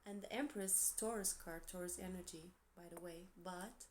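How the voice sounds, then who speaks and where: A woman with a Portuguese accent, gentle and encouraging, giving a tarot reading with soft asides and self-corrections. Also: fairly low pitch, moderate pace, a little hiss or hum. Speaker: tarot reader.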